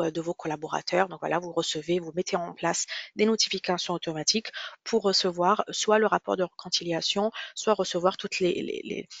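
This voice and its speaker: A presenter giving a software demonstration in a webinar, explaining step by step in a halting way, often repeating and rephrasing. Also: mid-range voice, vocal fry, no room sound, dull audio. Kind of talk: product demonstration webinar, semi-scripted explanation.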